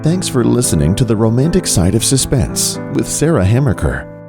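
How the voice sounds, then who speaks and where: A man's voice: medium pitch, big pitch swings, normal speed, gravelly. A man reading a scripted podcast outro as the show's announcer. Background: plucked guitar music.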